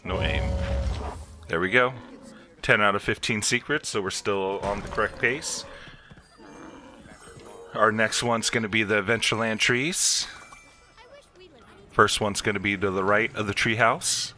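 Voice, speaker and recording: A man's voice: lowish voice, steady tone, unhurried, long pauses, bright, forceful tone. A man hosting a video game walkthrough, explaining each step in an instructional way. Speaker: gameplay video host.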